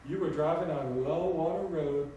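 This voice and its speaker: A man's voice: a little high, normal speed, dark tone, muffled recording, lightly acted. A man voicing an elderly Southern grandmother spirit in an audiobook, sweet and blunt, with a folksy drawl. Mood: folksy, sassy. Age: older. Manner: folksy drawl full of endearments. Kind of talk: audiobook storytelling character voice. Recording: very echoey room, distant mic, faint noise.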